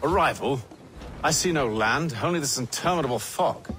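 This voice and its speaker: An actor playing a composed male gentleman in a game, reserved, terse and dry. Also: on the high side, lively intonation, moderate pace, slight background noise. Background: loud music.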